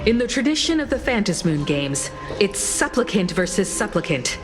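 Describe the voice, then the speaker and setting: A woman's voice: mid-range voice, big pitch swings, normal speed, close to an everyday voice. A woman voicing a composed, witty and confident adventurer in video game dialogue. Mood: confident, determined. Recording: music behind. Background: game music.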